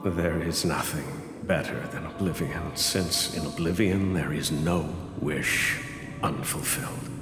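Radio drama villain: blind cult hierophant, grandiose and ominously serene, very low voice in a reverberant hall. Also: male voice. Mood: serenely ominous. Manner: grandiose, slow, sermonizing. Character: sinister blind cult leader, serene, grandiose prophet of oblivion. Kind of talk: theatrical radio drama acting. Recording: echoing hall with long reverb, music behind.

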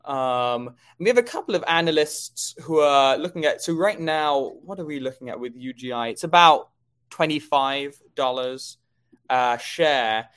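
A man's voice: mid-range voice, expressive, a little slow, a bit bright, smooth. A man co-hosting a stock market show, giving conversational commentary on companies and markets.

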